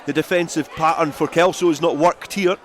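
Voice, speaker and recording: A man's voice: a little high, steady tone, a little fast. A man giving live radio play-by-play rugby commentary from an outdoor ground.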